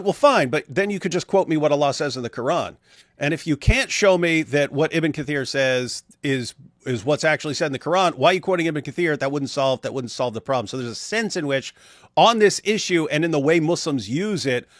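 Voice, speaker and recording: A man's voice: fairly high pitch, fairly bright tone, no echo. Emphatic man arguing his point, dry sound. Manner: emphatic, builds arguments step by step. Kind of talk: casual debate discussion.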